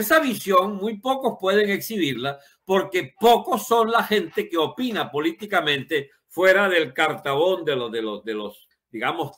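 A man's voice: fairly high pitch, pushed and bright. A man in his seventies giving an opinionated, unscripted political monologue in an emphatic way, with rhetorical questions and colourful wording. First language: Spanish. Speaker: political commentator.

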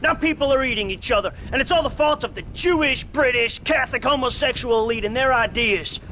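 Western game role: old American storekeeper, bigoted crank, shrill and high, folksy righteous ranter. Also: male voice, brisk, pushed and bright, slight background noise, low-quality recording. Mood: indignant ranting. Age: older. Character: bigoted, paranoid, cranky old frontier shopkeeper. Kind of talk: video game cutscene acting.

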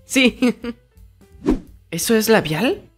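A woman plays a nervous teenage cartoon hero with a highish voice. He is hesitant and earnest, reasoning things through analytically over faint music. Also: lively intonation, very slow, full character voice. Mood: amused. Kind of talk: fandub comic acting.

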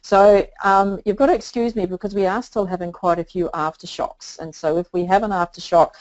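A woman's voice: mid-range voice, steady tone, brisk, a little mellow. An older woman from New Zealand with a New Zealand accent, a guest expert chatting in a webinar with a self-mocking humor. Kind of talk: webinar talk. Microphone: video call. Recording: dull lo-fi call audio.

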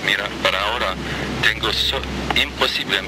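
A man from Lebanon negotiating tensely on a phone call, pleading in halting, broken non-native Spanish. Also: on the low side. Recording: very noisy archive phone call recording.

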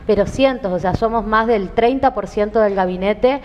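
A woman's voice: mid-range voice, darkish tone, slight background noise. Woman, 30s, fluent political talk, headset mic, long reverb, muffled. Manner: fluent, measured political speech. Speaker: government minister and candidate. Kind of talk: TV studio interview. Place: TV studio.

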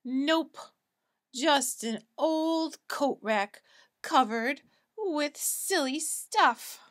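A woman reading a children's picture book aloud in an expressive, dramatic storytelling manner, like a preschool teacher. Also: high-pitched, drawn-out words.

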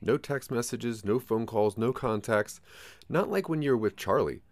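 A man's voice: medium pitch, moderate pace, no room sound. A man narrating a scripted second-person audio drama in a theatrical, ominous storytelling manner.

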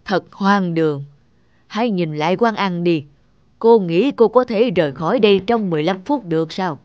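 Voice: medium pitch, normal speed, no echo, highs cut off, lightly acted. An actor playing a grumpy, fault-finding old man in a cartoon, with a blunt, complaining delivery. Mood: sour, dismissive. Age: older. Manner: blunt, dismissive. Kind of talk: animated cartoon dubbing. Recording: loud music under the voice.

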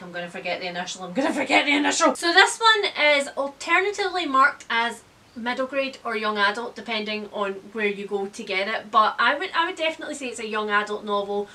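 Bright-voiced woman chatting about books. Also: on the high side. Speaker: book vlogger. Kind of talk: chatty book review. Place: indoor room with bookshelves.

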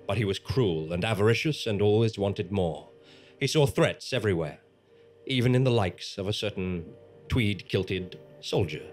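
An ominous storyteller, performed by a man with a lowered voice and music behind. The scripted narration of an eerie tale is grave, measured and portentous. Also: lively intonation, natural voice. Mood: solemn, storytelling. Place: small theatre stage before an audience. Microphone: stand microphone.

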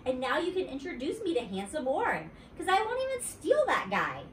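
A woman's voice: huge pitch swings, a little fast. Storybook kid: bold chatty little girl, wheedling and bouncy, as a woman reader plays her, mic set back in a roomy space. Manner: high, wheedling, bouncy child voice. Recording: roomy, distant mic, faint noise.

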